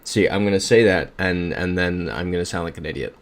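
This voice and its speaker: A young man hosts a casual livestream from his room, upbeat as he thinks aloud and reads the chat. His voice sits on the low side, captured on a desk mic. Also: brisk. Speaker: streamer.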